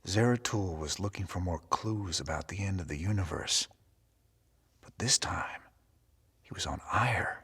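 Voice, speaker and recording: A deep, husky man's voice in a reverberant room, as a tough, world-weary space commander. He talks gruffly and casually, as in a game cutscene. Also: measured pace, a bit bright, exaggerated voice. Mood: troubled, weary. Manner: gruff, casual, plain-spoken. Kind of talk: naturalistic game cutscene acting. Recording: long reverb of a large room.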